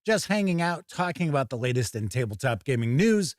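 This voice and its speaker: A man hosting a casual live tabletop gaming stream, a little raspy and hoarse from being under the weather, talking in a folksy, upbeat way. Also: medium pitch, big pitch swings, brisk, no room sound, studio quality. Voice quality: slightly raspy. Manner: upbeat, folksy greetings, chatty. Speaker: host. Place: home studio.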